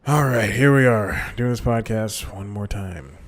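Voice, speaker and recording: Young male podcast host with a lowish voice, rambling and self-correcting, casual solo talk. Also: a little slow. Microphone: desk microphone.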